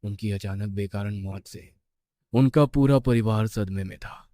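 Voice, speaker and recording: A man's voice: lowish voice, unhurried, mellow, dry recording, studio quality. A man narrating a scripted horror story with suspenseful, dramatic phrasing.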